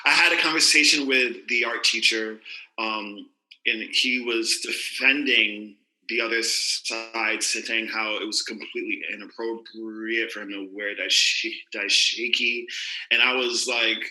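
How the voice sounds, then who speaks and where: A man's voice: lowish voice, steady tone, unhurried, bright, forceful tone. A man in a casual podcast discussion, defending his view hesitantly, often stammering and restarting. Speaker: podcast co-host. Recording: echoey room.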